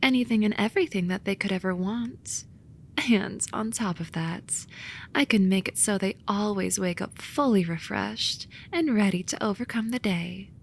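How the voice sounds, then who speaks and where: A woman voicing a soothing but snarky sloth demon in a romantic ASMR roleplay, soft and coaxing with a dry edge. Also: medium pitch, normal speed, gravelly, a little hiss or hum, lightly acted. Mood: boastful. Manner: soft, coaxing, dryly sarcastic, sometimes whispery.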